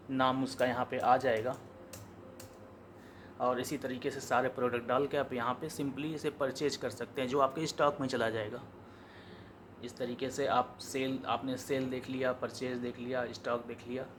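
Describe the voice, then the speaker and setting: An Indian man giving an informal step-by-step software tutorial, explaining as he goes and repeating his instructions. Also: mid-range voice, little pitch movement, moderate pace, slight background noise. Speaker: software demonstrator.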